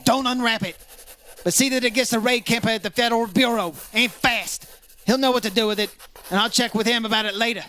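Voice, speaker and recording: A man playing a brisk, hard-boiled insurance investigator in an old-time radio detective drama, terse and matter-of-fact. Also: very high-pitched, steady tone, bright, forceful tone, a little hiss or hum. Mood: urgent, insistent.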